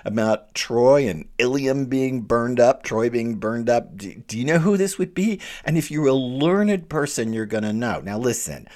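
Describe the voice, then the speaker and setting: An American man giving an informal lecture, reading verse aloud and going off on quick asides. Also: medium pitch. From United States. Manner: animated, digressive, with quick asides and restarts; reads quoted verse aloud. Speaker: literature lecturer. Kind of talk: informal lecture on a classic poem.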